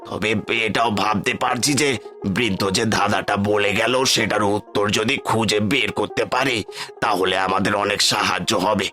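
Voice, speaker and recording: A man's voice: on the low side, fairly even intonation, normal speed, pushed and bright, faint music behind. A man playing a hopeful village husband in a cartoon fairy tale, exclamatory and theatrical. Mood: thoughtful. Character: excitable, hopeful poor village husband and fish farmer. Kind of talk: cartoon storybook acting.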